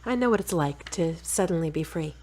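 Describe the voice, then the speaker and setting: A woman acts a frank girlfriend in an audio drama. Her voice is slightly low, and her delivery is wry, direct and supportive. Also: animated, brisk, darkish tone, slight background noise.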